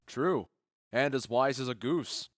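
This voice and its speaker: An actor playing a witty, indulgent duke who comments wryly in a comic audio drama. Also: male voice, medium pitch, measured pace, dry recording, clean studio sound, lightly acted. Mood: wry, amused. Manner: wry, amused commentary. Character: witty, indulgent duke watching an amateur play. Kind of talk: modernized Shakespeare audio drama comedy.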